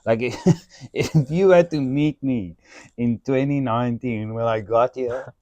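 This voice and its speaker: A podcast host from South Africa with an Afrikaans accent, telling stories in a casual chat, animated and swearing, often saying "dude". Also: male voice, mid-range voice, measured pace, no echo. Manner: animated, swearing storyteller who says "dude" a lot.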